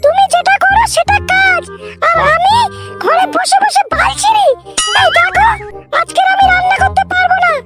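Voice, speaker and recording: Piercingly high brash cartoon wife. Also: female voice, fairly even intonation, moderate pace. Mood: defiant, annoyed. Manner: brash, complaining. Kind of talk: comic cartoon dialogue. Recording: loud tinkly children's music behind. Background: tinkly children's music.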